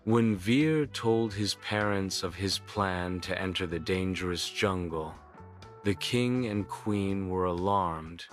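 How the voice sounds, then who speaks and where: A man's voice: sits low, slow, soft background music. A man narrating a scripted children's bedtime story in a solemn, formal storytelling manner.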